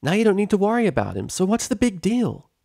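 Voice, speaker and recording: A man's voice: sits high, huge pitch swings, fast talker, creaky, warm tone, no echo, clean studio sound, pitched above the performer's own voice, heavily acted. A man voicing a brash, loyal young female pegasus pony in a story reading. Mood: defiant.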